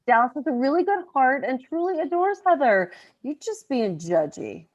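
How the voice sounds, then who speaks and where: Woman acting a high-voiced, teasing, affectionate mom. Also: big pitch swings, normal speed, lo-fi sound. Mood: earnest. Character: affectionate, teasing working-class mom, loving family matriarch. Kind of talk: virtual table read of a comedy script.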